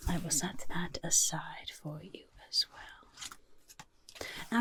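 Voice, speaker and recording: A woman voicing a friendly, sly female goblin quartermaster in an ASMR roleplay, in a soft breathy whisper, coaxing and unhurried. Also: on the low side, heavily acted. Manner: coaxing, unhurried, repeats words for emphasis.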